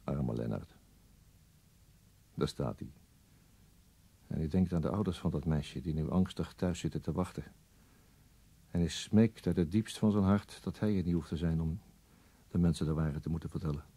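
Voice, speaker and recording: An actor playing a weary, brooding police detective in a radio play monologue, deliberate and resigned, with a husky, gravelly voice. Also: male voice, very deep voice, dark tone, heavily acted. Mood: somber.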